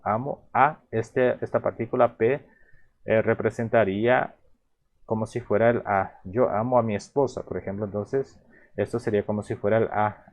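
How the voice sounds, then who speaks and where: A man with a somewhat low voice and a Paraguayan Spanish accent, his first language Guarani, walks through words step by step. He talks in a halting, patient way, repeating phrases and restarting sentences as he explains. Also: a little mellow, lo-fi sound. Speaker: language teacher. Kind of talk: explaining vocabulary in a video lesson.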